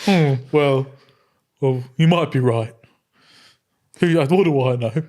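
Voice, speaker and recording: A man's voice: mid-range voice, slow pace, darkish tone, lightly acted. A man playing a muddled but well-meaning fantasy hero, talking hesitantly and rambling through improvised tabletop roleplay. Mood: puzzled.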